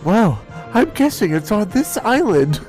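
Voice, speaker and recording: A man's voice: sits high, sing-song, unhurried, music in the background. A man voicing a young male fox sidekick with a nonchalant, know-it-all delivery in an amateur story read. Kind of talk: amateur fan-fiction table read.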